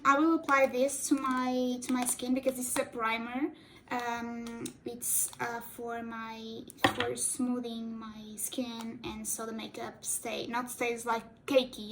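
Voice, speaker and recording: A young woman, a native Portuguese speaker, chats in a slightly high, unhurried voice. She rambles playfully and keeps correcting herself. It is a home recording from a desk camera, with a faint hiss underneath. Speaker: cosplay makeup vlogger. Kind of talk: casual chatty makeup tutorial.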